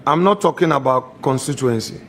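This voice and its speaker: A man on a committee formally questioning a nominee, pressing the same point with measured, insistent questions. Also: mid-range voice, lively intonation, a bit bright, a little hiss or hum.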